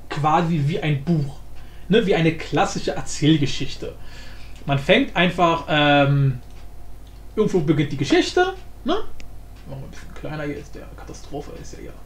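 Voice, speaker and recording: A man giving an informal screencast tutorial, explaining haltingly, repeating words and often adding little tag questions. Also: fairly high pitch, sing-song. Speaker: tutorial host. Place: indoor room, screen-recording voice-over. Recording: roomy, echoing home recording.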